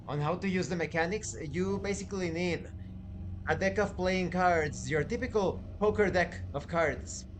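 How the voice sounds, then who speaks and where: Higher-pitched man presenting a game review. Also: slight background noise. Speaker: tabletop game reviewer and channel host. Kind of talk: scripted-sounding review presentation.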